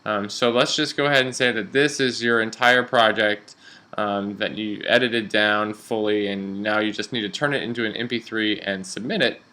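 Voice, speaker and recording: A man teaches in an informal, step-by-step tutorial style. His voice sits a little low, with a clearly bright, forward tone. He sounds like a practical instructor showing students how to work through a program. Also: steady tone, normal speed. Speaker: radio instructor. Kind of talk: software tutorial narration, step-by-step explaining.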